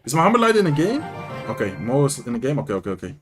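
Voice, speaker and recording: A man's voice: medium pitch, racing, over music. A young man with a Dutch accent, chatting casually on a live stream, reading and answering viewers while commenting on matches. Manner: chatty, reads and answers chat, animated. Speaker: streamer and content creator.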